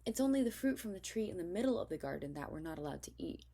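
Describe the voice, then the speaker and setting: A woman's voice: on the low side, big pitch swings, fast, mellow. A woman voicing an earnest young-world first woman in a Bible story, explaining and defending herself.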